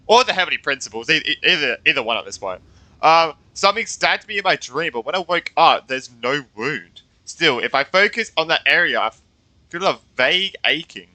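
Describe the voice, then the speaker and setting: Male game streamer: very bright, slightly high voice, casual and excitable, reacting as he plays. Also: a little fast, no echo, rough recording. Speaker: gaming streamer. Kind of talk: casual game playthrough commentary.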